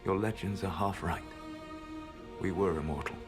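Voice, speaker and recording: An actor playing an ancient, secretive male elf mage who speaks in a measured, grave and regretful way. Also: low-pitched, lightly acted. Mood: solemn. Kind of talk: video game voice acting. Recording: game audio with music score.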